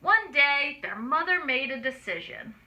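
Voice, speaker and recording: Woman reading a kids story out loud, slow and very bright, super expressive. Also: sits high. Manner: expressive, explaining simply to small children. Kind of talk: children's read-aloud narration with asides.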